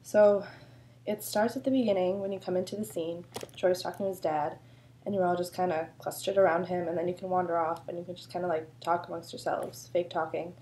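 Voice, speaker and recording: A woman's voice: lowish voice, fairly even intonation, fairly quick, dark tone, slight background noise, poor audio. A woman choreographer with a sore throat, explaining a dance routine in a chatty, loose way full of fillers. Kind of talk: casual dance instruction video.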